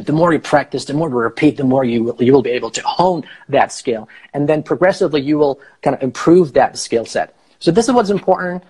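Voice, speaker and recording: A man in his thirties, a native Farsi speaker and English teacher, explaining slowly on a live video call through an earphone mic in a small room. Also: fairly high pitch, darkish tone, breathy edge, low-quality recording. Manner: explains slowly, rephrasing with many fillers. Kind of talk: casual live video chat. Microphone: earphone headset mic on a video call.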